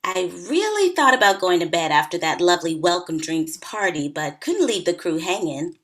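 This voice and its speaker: A woman's voice: lowish voice, sing-song, normal speed, fairly bright tone. An actress in her early thirties playing a wry, teasing, hard-drinking bridesmaid in a comedy table read. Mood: breezy.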